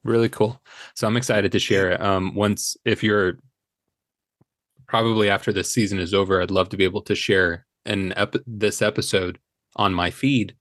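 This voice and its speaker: A man's voice: on the low side, fairly even intonation, moderate pace, a bit bright, no echo, clean studio sound. An American man chatting casually and enthusiastically as a podcast guest. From United States. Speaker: podcast guest, audio drama creator. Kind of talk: casual chat.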